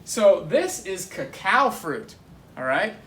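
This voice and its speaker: A man's voice: high-pitched, very animated, slow pace, fairly bright tone. A man giving a casual, instructive and enthusiastic demonstration, explaining things as a guest presenter. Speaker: guest presenting tropical fruits. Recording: roomy echo, clear background noise.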